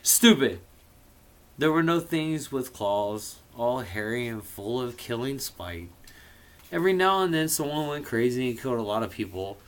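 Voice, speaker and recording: A man's voice: mid-range voice, animated, normal speed. A man casually reading a novel aloud, theatrical and playful, dropping in irreverent asides of his own. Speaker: amateur reader of a novel.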